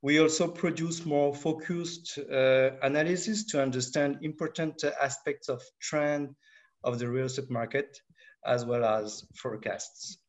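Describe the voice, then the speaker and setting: A man giving a webinar presentation on market statistics. He speaks haltingly and fills nearly every pause with "uh". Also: mid-range voice. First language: French. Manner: halting, with constant filler pauses. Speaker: market analysis director presenting.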